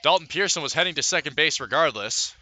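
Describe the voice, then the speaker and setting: A man doing live baseball play-by-play as a radio announcer, describing the action in a measured way with pauses between calls. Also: a little high, expressive, sharp tone. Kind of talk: live sports commentary.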